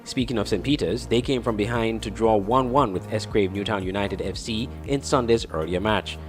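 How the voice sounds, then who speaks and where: A man's voice: lowish voice, normal speed. A man reading scripted sports news as a newsreader. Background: music bed.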